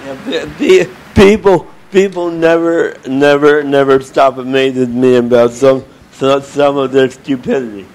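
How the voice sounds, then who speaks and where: Man with a booming, mellow voice. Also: steady tone, a little slow, hardly any pauses, rough recording. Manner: repeats words and restarts phrases. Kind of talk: group discussion.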